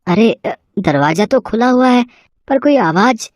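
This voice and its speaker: A woman voicing a humble male vegetable seller in an animated moral story, earnest and respectful with a pleading, hesitant manner. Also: a little high, unhurried, no echo, studio quality, pitched above the performer's own voice. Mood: worried.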